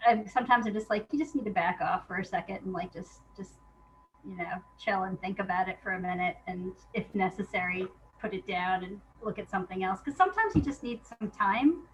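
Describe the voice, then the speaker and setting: A woman's voice: medium pitch, brisk, slight background noise, low-quality recording. A woman guest chatting casually in an interview, thinking aloud in an informal, hesitant way. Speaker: guest playwright.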